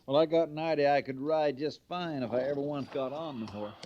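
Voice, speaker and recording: An actor playing a bigoted, needling ex-convict army recruit in an old radio western, with a folksy, ungrammatical drawl. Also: male voice, a little high, fairly quick, dark tone, natural voice. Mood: grumbling. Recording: muffled vintage radio recording.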